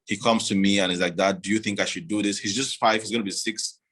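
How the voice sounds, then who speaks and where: A man being interviewed, talking in a measured, reflective way with many "you know"s. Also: lowish voice, steady tone, fairly bright tone, husky. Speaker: guest. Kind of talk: casual interview.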